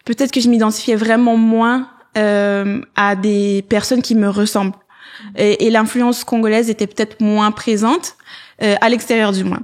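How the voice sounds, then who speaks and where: Female podcast guest, thoughtful and hesitant, some English, mid pitch. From Montreal, Canada. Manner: reflective, hesitant, mixes in English. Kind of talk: casual podcast chat.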